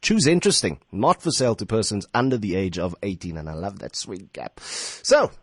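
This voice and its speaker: A man's voice: lowish voice, expressive, normal speed, husky, dry recording, studio quality. A man with a South African accent hosting a live radio phone-in in an informal, playful way, with slangy banter. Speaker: radio talk show host. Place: radio studio.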